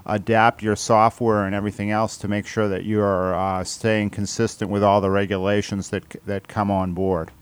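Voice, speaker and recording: A man's voice: fairly low pitch, level tone, normal speed, hardly any pauses, clear, resonant tone. A man hosting a radio interview, asking questions in a conversational way. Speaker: radio show host, interviewer.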